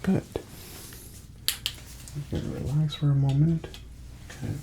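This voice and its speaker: A man doing a soft-spoken ASMR doctor roleplay, gently reassuring as he gives unhurried instructions, often slipping into a breathy whisper. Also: a little high, noisy background. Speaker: ASMR performer playing a doctor.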